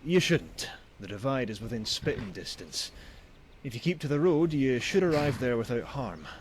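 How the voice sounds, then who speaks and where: An actor playing a wry, cynical traveling merchant in a video game, with a theatrical, sardonic manner and old-fashioned phrasing. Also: male voice, mid-range voice, sing-song, normal speed, slight background noise, close to an everyday voice. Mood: sardonic, teasing. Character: wry, cynical male traveling merchant, grim about bandits. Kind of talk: voiced video game dialogue.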